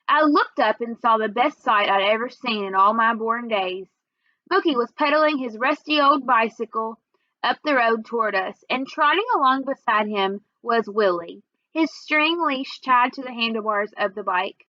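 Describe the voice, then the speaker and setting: Higher-voiced woman reading a children's story. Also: lively intonation, moderate pace, no echo, lo-fi sound. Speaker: read-aloud reader. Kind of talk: children's storybook read-aloud narration.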